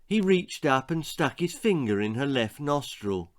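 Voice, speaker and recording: Slow, theatrical male storyteller. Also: mid-range voice, big pitch swings. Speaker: author reading his own story. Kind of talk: expressive children's storytelling, scripted narration.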